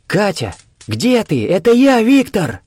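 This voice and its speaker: A man voicing a frantic, exasperated searcher in an audiobook, with urgent, loud and indignant delivery. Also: fairly low pitch, animated, a little fast, no echo. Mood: urgent calling.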